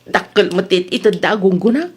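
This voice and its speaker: A woman playing a chatty, evasive village wife in an Ilocano comedy audio drama, exclaiming and quick to retort. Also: medium pitch, darkish tone, slightly rough, natural voice.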